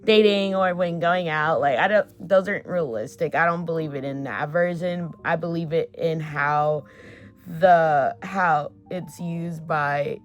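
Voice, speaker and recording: A woman's voice: low voice, unhurried. A woman in her twenties chatting casually to her camera in a get-to-know-me vlog, full of fillers and offhand swearing. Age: 20s. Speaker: vlogger.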